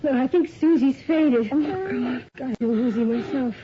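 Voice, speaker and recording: Young female model, caring yet rattled, high lively voice, radio drama. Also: a little slow, slight background noise. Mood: shaken, concerned. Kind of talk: 1980s radio drama acting. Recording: muffled old radio recording, music underneath.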